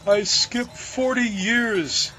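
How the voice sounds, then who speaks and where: A man's voice: on the high side, expressive, takes it slowly, a bit bright, faint music behind, pitched above the performer's own voice, poor audio. A man voicing a tearful, timid baker in a storybook poem, pleading in a raised, quavering voice. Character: tearful timid male baker, anxious and rambling.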